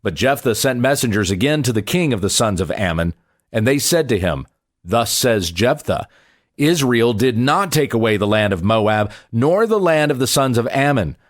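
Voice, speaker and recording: Expressive man reading scripture, widely varied intonation. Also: mid-range voice, normal speed. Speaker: pastor.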